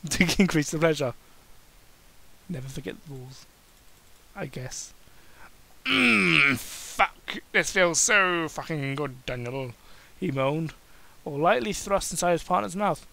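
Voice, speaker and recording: A man's voice: on the high side, a bit bright. A man giving a comic amateur dramatic reading of fan fiction, stumbling over words and breaking off to heckle the text with swearing.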